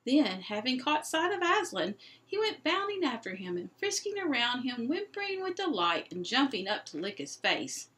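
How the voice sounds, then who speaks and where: A woman reading a children's novel aloud as an expressive storyteller. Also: high-pitched, moderate pace, few pauses. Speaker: storybook reader.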